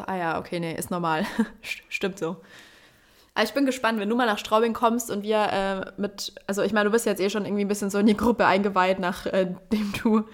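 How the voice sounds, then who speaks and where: A woman from Bavaria with a light Bavarian accent, co-hosting a casual podcast chat, laughing often and rambling with fillers. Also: medium pitch. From Bavaria, Germany. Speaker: podcast co-host. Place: at home, neighbors partying nearby. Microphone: separate remote recording, two takes.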